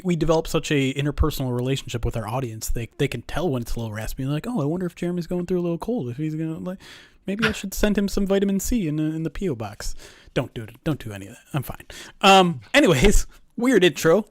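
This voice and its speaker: A man hosting a sports podcast, his voice raspy, chatty and joking at his own expense. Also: on the high side, expressive, fast, dry recording. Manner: chatty, self-mocking, laughs easily. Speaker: podcast host and producer. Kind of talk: casual podcast chat.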